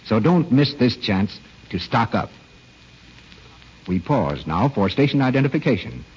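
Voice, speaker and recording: A man's voice: medium pitch, lively intonation, unhurried, darkish tone, slightly rough. A man announcing as host of a 1930s radio show, in a polished, theatrical scripted style. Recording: old muffled radio broadcast, faint music.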